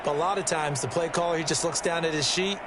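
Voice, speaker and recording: An actor playing an opinionated American football color analyst in a sports video game, explaining plays in a conversational way. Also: male voice, on the high side, fairly even intonation, fast talker, crowd noise, background noise, close to an everyday voice. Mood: analytical, assured.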